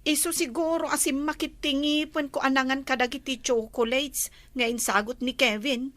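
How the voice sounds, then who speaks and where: A woman's voice: high voice, fairly even intonation, normal speed, a bit bright, no echo. A young woman playing a gullible village sweetheart who talks in a bubbly, easily surprised way. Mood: chatty.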